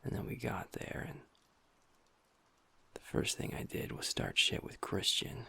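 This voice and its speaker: Soft sad werewolf bf, a guy doing it, super husky half-whispered voice, gravelly, audio rp. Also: lowish voice, wildly swooping pitch, unhurried, no room sound, heavily acted. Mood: subdued. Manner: soft, hushed, intimate talk to a partner. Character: gentle young male werewolf boyfriend, pack beta, guilt-ridden. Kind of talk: audio roleplay.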